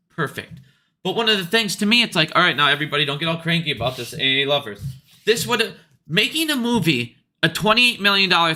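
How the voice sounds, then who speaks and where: Forceful, bright-voiced man talking business. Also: on the high side. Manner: emphatic, blunt, profane. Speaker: retail investor commentator. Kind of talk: casual opinionated monologue about business.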